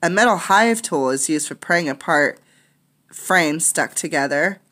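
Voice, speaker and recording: A woman's voice: low-pitched, lively intonation, measured pace, a bit bright. A woman teacher reading a children's nonfiction book aloud, adding explanatory asides for young listeners.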